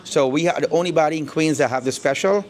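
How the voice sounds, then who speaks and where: A man's voice: on the high side, fast, slight background noise. A middle-aged man from Guyana with a Guyanese accent, chatting easily about his barbershop in an interview and leaning on "you know". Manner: easygoing, repeats words, fillers like "you know". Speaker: barbershop owner. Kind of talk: casual interview. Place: indoor barbershop.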